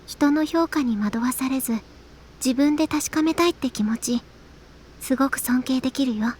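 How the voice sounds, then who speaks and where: A young woman playing an earnest, gently cautioning teenage schoolgirl in a Japanese visual novel. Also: high-pitched, fast talker, slight background noise, close to an everyday voice. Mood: admiring.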